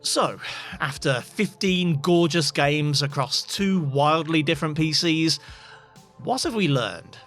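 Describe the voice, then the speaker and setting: A man reads a scripted voice-over for a tech video, his tone dry and wry. His voice sits slightly higher than most men's. Faint music plays underneath. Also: expressive, slow pace, a bit bright.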